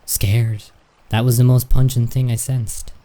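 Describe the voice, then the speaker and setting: An actor playing a sober, reasonable imp scout in a radio play, speaking in an understated, formal, matter-of-fact way. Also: male voice, mid-range voice, little pitch movement, unhurried, warm tone, natural voice. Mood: subdued. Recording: faint music and insect chirps behind.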